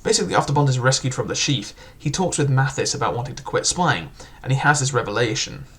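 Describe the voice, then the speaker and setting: A man's voice: medium pitch, lively intonation, fast, fairly bright tone. A young man casually reviewing a book, chatty and loose, with frequent filler words and restarts.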